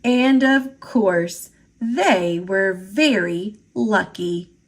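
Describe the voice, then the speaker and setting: A woman reading a children's picture book aloud in an expressive storytelling style. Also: medium pitch, drawn-out words. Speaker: storybook reader.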